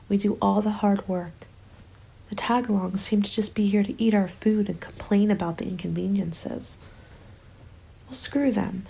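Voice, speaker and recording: A woman acts out a haunted, determined survivor of the zombie apocalypse in an ASMR roleplay. Her voice is soft, dark and breathy, often sinking to a whisper, and it stays fairly even and intimate. Music plays behind her. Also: medium pitch, rough recording. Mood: urgent, resolute. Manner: hushed, intimate, deliberate. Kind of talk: ASMR roleplay, dramatic monologue. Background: background music.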